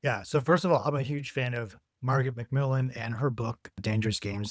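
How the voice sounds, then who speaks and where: A man who is a guest author, speaking hoarse and gravelly while getting over an infection, explaining his ideas in a measured, casual podcast interview. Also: mid-range voice, no room sound, studio quality.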